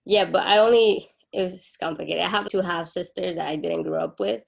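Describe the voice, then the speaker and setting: A woman's voice: fairly low pitch, fairly even intonation, a little fast, gravelly. A young adult woman from Honduras, a guest in an interview, talking in an open, earnest way. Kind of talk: casual interview chat.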